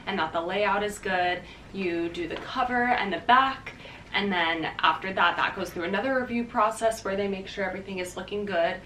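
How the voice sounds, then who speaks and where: A woman's voice: medium pitch, pushed and bright, distant mic, a little hiss or hum. Chatty young woman in an echoey room. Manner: chatty and upbeat, many fillers like "um" and "like". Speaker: vlogger. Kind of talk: casual talk to camera. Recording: echoey room, voice a bit off-mic.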